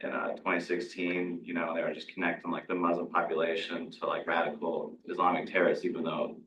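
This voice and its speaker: A young man recalling a childhood story, casual and halting with many "you know"s. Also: sits low, little pitch movement, fairly bright tone, slight background noise. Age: young adult. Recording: dull, echoey, from far off.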